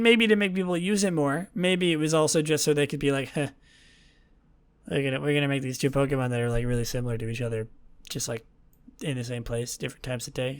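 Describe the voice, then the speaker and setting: A young man hosting a podcast, his voice worn and hoarse from talking all day, rambling casually and correcting himself often with dry sarcasm. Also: a little high, a bit bright. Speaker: podcast host. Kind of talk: casual solo podcast talk.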